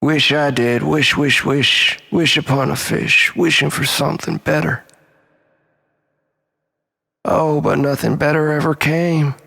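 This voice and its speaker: A man telling a rambling, melodramatic story as a mock-philosophical monologue, in a husky, gravelly voice with long echo. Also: a little high, little pitch movement, takes it slowly, bright, forceful tone. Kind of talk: dramatic comic monologue storytelling. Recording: long reverberant hall echo.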